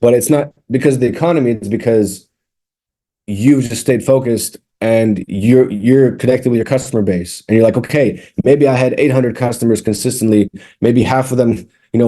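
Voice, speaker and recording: Rambling mellow-voiced man in his thirties. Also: medium pitch. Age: 30s. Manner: rambling, frequent restarts and fillers, candid. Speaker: music producer, guest. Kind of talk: casual interview chat.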